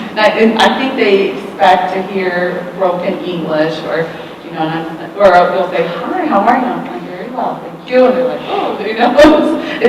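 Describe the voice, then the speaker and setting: Woman panelist, lively, heard from far off in a roomy, echoing hall with clear background noise. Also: mid-range voice. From Oklahoma, USA. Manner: lively, laughs between points. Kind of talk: panel discussion. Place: school hall. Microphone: distant room mic.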